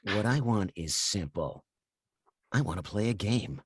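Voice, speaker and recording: A man playing a menacing killer in a slasher film table read, taunting his victim in a sinister, deliberate, husky way. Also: on the low side, expressive, no room sound, clean studio sound. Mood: sinister, playful.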